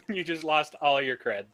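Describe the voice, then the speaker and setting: A man's voice: fairly high pitch, bright, forceful tone. A man on a podcast panel chatting casually and giving his opinions. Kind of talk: casual chat, opinionated commentary.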